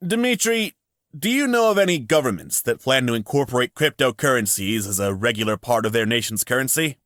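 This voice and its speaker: A man's voice: mid-range voice, expressive, a little fast, a bit bright, no echo, studio quality, natural voice. A man voicing minor male characters at a conference in a visual novel. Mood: inquisitive. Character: assorted male conference attendees and a curt guard.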